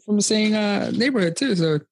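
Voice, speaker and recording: A man's voice: high-pitched, animated, fairly quick, slight background noise. A man from Queens with a New York accent, hosting a casual, slangy podcast chat. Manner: laid-back, slangy, swearing. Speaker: podcast host.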